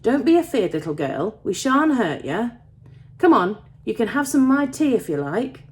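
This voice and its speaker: A kind young working man from a storybook as a woman narrator puts him on, protective and earnest. He speaks Yorkshire-accented dialect in a coaxing way, with pitch that rises and falls freely. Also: big pitch swings, moderate pace, a little hiss or hum. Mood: surprised, welcoming. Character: kind young male tin miner, protective, reassuring.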